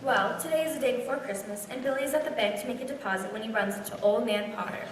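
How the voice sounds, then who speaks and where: A woman playing a solemn senior angel who narrates a stage radio play in a measured, explanatory way. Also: on the high side, close to an everyday voice. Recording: reverberant hall, far mic.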